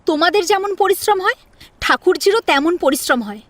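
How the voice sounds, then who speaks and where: Woman acting an indignant daughter-in-law, high lively voice. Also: fairly quick, dry recording. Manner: reproachful, pointed questioning. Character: outspoken, indignant young wife defending her sister-in-law. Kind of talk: Bengali audio story drama.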